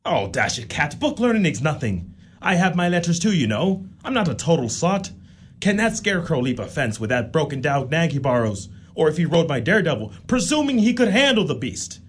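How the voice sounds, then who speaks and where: Burly young village tough, played by a man: swaggering, jealous, mocking, fairly high lively voice, radio play. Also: brisk, slight background noise. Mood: boastful, indignant. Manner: boastful, blustering, mocking.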